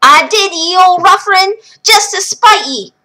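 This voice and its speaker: A woman doing a shrill ranting old wife. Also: animated, measured pace, sharp tone, exaggerated voice. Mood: spiteful.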